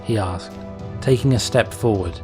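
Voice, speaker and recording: Lower-voiced man narrating dramatic fiction. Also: moderate pace, loud music behind the voice. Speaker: fan fiction reader, narrator. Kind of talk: dramatic scripted story narration.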